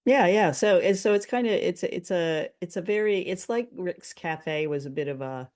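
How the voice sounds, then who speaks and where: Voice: mid-range voice, moderate pace, a little mellow. A podcast host talking in a casual, explanatory discussion style.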